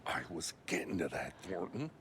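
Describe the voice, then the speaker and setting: A man's voice: low-pitched, sing-song, normal speed, a bit bright, slight background noise. A man playing an awkward, eager-to-please small-town sheriff's deputy in a video game, hesitant, rambling and apologetic. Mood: folksy, awkward. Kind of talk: scripted game dialogue.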